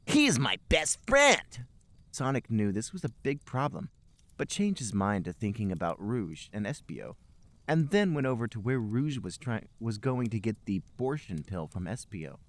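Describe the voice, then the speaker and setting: A man's voice: fairly low pitch, big pitch swings, moderate pace, darkish tone. A man hosting a podcast, reading fan fiction aloud for comedy. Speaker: podcast host and reader.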